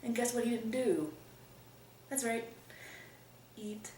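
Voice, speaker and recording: A young adult woman telling a personal story in a casual, animated way, laughing now and then. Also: on the high side, slow, distant mic. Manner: animated, laughing often, lively emphasis. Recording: roomy echo, voice a bit off-mic, faint room noise.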